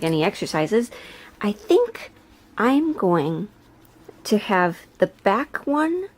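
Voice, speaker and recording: A woman's voice: medium pitch, a little mellow. A woman chatting casually as she hosts a sewing vlog, thinking aloud in a rambling, self-deprecating way. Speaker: video host and hobby historical seamstress.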